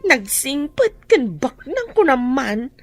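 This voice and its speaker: Radio drama best friend: teasing, chatty, lively high pitch, Filipino accent, faint music. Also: female voice, slow pace, full character voice. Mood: teasing, excited. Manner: teasing, animated. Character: teasing, chatty female friend, playful and nosy.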